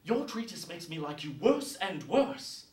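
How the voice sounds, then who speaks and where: A man playing a bashful but disdainful young man in a deliberate, emphatic recital of Shakespeare verse. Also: a little high, big pitch swings. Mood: scornful, firm. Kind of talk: theatrical Shakespeare verse monologue. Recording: roomy echo, distant mic.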